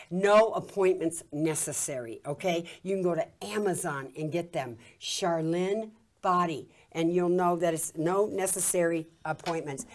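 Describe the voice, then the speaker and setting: A woman's voice: fairly low pitch, darkish tone. A middle-aged woman hosting a studio talk show in an easygoing, chatty manner, plugging a guest's books. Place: television studio set.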